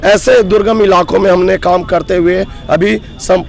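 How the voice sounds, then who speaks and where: A hoarse man in his thirties, a block coordinator at a roadside protest, speaking earnestly and with rising grievance in a street interview. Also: high voice, steady tone, normal speed, soft background music. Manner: aggrieved, earnest, builds to emphatic outbursts. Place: roadside protest sit-in outdoors.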